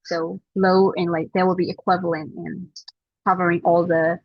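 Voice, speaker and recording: Husky young woman, dull lo-fi audio. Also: fairly low pitch, normal speed, no room sound. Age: 20s. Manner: relaxed, hedging with fillers. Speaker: guest. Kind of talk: casual interview answers.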